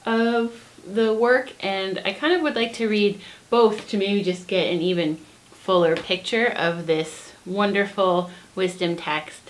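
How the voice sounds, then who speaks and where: A woman's voice: medium pitch, fairly even intonation, a little slow. A woman co-hosting a book vlog, explaining her recommendations in a casual, earnest and appreciative way.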